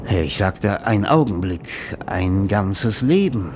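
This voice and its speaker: Ein Schauspieler spielt in einem deutschen Hörspiel einen alternden, trinkenden Drehbuchautor, der schwärmerisch und pathetisch erzählt. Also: male voice, lowish voice, expressive, slow pace, background noise. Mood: rapturous, dreamy. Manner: theatrical, rhapsodic, savoring his words. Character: drunken, aging screenwriter, dreamy and grandiose storyteller. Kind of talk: German radio drama acting.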